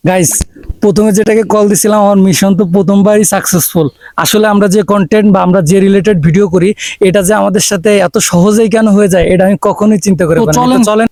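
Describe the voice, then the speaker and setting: High-voiced young Bangladeshi man, creaky. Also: darkish tone, no room sound.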